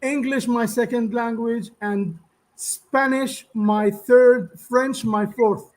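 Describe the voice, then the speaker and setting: A man, his tongue, speaking slowly and politely as a guest on a video call. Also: extremely high pitch, steady tone, poor audio. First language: Arabic. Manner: polite, halting, slow.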